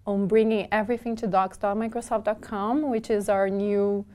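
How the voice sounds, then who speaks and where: A woman's voice: mid-range voice, fairly even intonation, moderate pace, dark tone. A woman, a guest in a casual interview who often restarts her sentences and laughs easily. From southern Brazil. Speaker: interview guest, documentation developer.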